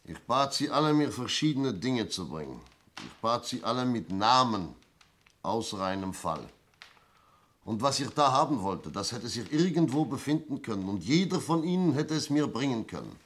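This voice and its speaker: An actor playing a shrewd police inspector in a 1960s German radio crime play, deliberate, teasing and courteous. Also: male voice, mid-range voice, big pitch swings, fairly bright tone. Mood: triumphant, explaining. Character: shrewd, theatrical male police inspector, toying with suspects.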